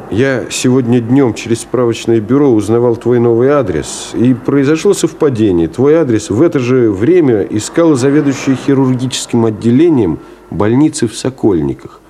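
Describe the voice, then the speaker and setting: A man's voice: mid-range voice, mellow, natural voice. An actor playing an earnest, conscientious middle-aged man in a 1970s Soviet radio play, pleading with a friend in a hesitant, emotional way.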